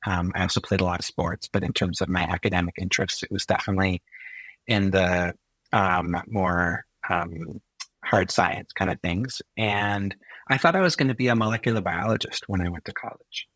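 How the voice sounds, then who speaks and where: Deep, husky-voiced middle-aged man. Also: a bit bright, dry recording, rough recording. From Chile. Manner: thoughtful, unhurried, often pausing to find words. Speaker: interview guest, physician and technologist. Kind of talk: reflective career storytelling.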